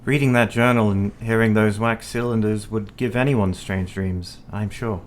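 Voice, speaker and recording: Guy acting young victorian husband, lowish voice, earnest and devoted, proper wording, radio drama, faint noise. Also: fairly even intonation, normal speed, natural voice. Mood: tender concern. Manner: sincere, formal period diction.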